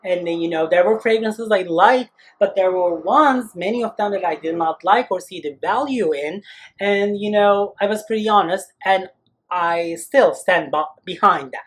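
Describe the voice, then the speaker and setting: A man's voice: high-pitched, normal speed. Chatty man reviewing fragrances. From Turkey. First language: Turkish. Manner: chatty and expressive, many "you know" and "like" fillers. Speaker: fragrance reviewer, channel host. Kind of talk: casual review talk to camera.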